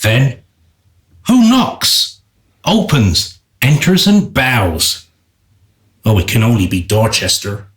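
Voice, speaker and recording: A man's voice: mid-range voice, wildly swooping pitch, takes it slowly, long pauses, fairly bright tone, exaggerated voice. A man playing an arch, playful narrator of a comic historical radio play. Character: arch, playful male storyteller of a historical farce.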